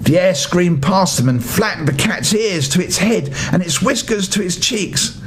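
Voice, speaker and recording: راوٍ مسنّ بصوت حيوي كثير التموّج. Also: male voice, lively intonation, moderate pace. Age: older. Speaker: children's story reader. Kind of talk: expressive bedtime story narration. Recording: faint rumbling background noise.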